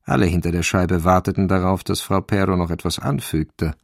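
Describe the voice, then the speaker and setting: An actor as the wry, measured narrator of a German radio crime drama, with a deliberate, dry delivery. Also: male voice, sits low, steady tone, gravelly, no room sound.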